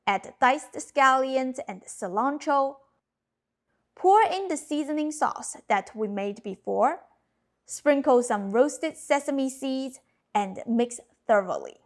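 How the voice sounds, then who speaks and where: A woman in her thirties whose first language is Cantonese, narrating a cooking lesson with clear step-by-step instructions. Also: sits high, expressive, slow pace. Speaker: cooking channel host. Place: home kitchen.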